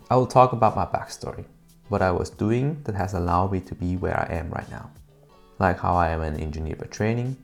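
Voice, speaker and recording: Thirties guy from switzerland doing vlog voiceover, lowish gravelly voice, earnest with dry jokes. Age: 30s. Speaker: YouTuber. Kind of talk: scripted personal vlog narration.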